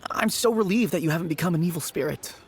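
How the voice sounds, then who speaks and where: An actor playing a young man fondly recalling his childhood friend, warm-hearted and reminiscing. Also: fairly high pitch, fast, a little hiss or hum, lightly acted. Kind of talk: video game voice acting.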